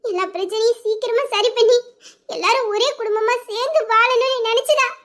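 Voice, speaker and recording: A woman's voice: very high-pitched, fairly quick, a bit bright, a little hiss or hum. A woman playing a young woman who coaxes and pleads with her lover in an audio drama. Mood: tearful. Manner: pleading, coaxing, breaking into tears. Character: young woman, coaxing and tearful sweetheart.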